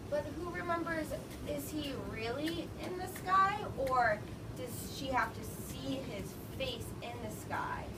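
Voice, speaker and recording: A woman teaching young children, reading a story aloud and prompting them with questions in a deliberate way. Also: high-pitched. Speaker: elementary school teacher. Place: classroom with children. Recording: noisy classroom, distant microphone.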